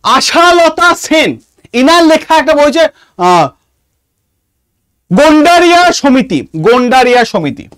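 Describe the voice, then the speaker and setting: A man with an Indian Bengali accent teaching an exam coaching class in a lively, emphatic way, repeating key phrases and questions and often checking that students follow. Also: extremely high pitch, slow, long pauses, dry recording, studio quality. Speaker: history teacher for exam preparation.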